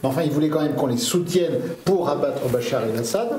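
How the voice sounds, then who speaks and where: A man's voice: fairly high pitch, quick pace, a little mellow, reverberant, slight background noise, low-quality recording. A man speaking as a guest on a TV panel debate, sardonic and fond of rhetorical questions.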